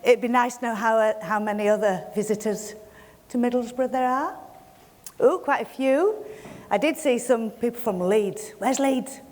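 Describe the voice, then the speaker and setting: A woman's voice: fairly high pitch, normal speed, darkish tone. A middle-aged woman with a Yorkshire accent gives a frank, plain-spoken welcome speech to a live audience at an event, with wry self-deprecating humour. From Yorkshire, England. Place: event hall. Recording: long hall reverb from a venue PA.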